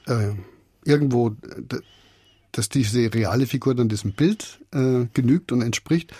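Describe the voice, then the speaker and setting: A man's voice: mid-range voice, dark tone, breathy edge, no room sound. A man speaking as a radio drama director in an interview, conversational and reflective, often hesitating as he searches for words.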